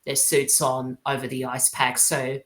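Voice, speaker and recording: A woman chatting casually as a podcast guest, halting and often repeating her phrases. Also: very deep voice, completely flat delivery, fairly bright tone. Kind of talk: casual chat. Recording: roomy, echoey sound.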